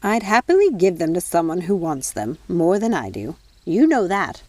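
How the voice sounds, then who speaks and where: A woman's voice: on the low side, very animated, normal speed, a little mellow, no room sound. A woman voicing a weary, sensible fairy nanny in an audiobook, with restrained, dry patience. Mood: weary, placating. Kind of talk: audiobook character voice.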